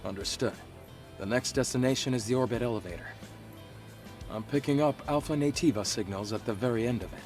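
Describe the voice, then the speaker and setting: An actor playing a calm, reassuring guide and mission planner in a video game, measured, steady and practical. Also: male voice, mid-range voice, faint music behind, lightly acted. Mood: steady, informative.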